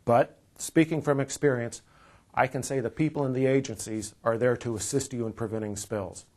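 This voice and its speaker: A man in his fifties with an American accent presents scripted training material in a measured, explanatory way. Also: mid-range voice, steady tone, a little mellow. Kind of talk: scripted instructional narration. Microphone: lapel mic.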